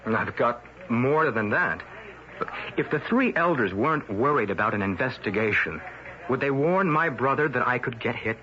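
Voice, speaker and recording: A man's voice: mid-range voice, lively intonation, moderate pace, slight background noise, natural voice. An actor in an old-time radio drama playing a stubborn, indignant crusading newspaperman, insistent and suspicious of authority. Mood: determined. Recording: muffled old radio recording.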